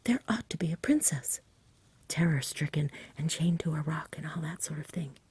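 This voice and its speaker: A gentlemanly storybook knight, voiced by a woman reader, explaining things in an offhand, practical way. The whisper is very breathy, mellow and close. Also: low voice, lively intonation, moderate pace, no echo, full character voice. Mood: brisk reminding.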